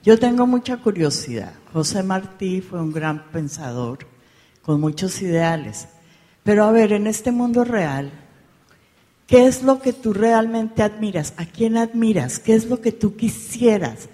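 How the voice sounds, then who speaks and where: Audience lady asking a pointed question, slow and deliberate, echoey hall. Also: mid-range voice, expressive, dark tone. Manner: probing, challenging. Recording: long hall reverb.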